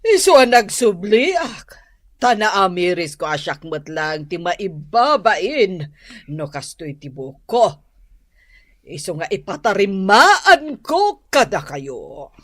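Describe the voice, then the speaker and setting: A woman's voice: mid-range voice, sing-song, a bit bright, no echo, clean studio sound, exaggerated voice. A woman with an Ilocano Filipino accent playing a haughty, indignant salon customer in a comic radio drama. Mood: cold displeasure. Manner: indignant, drawn-out delivery. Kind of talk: comedic radio drama acting.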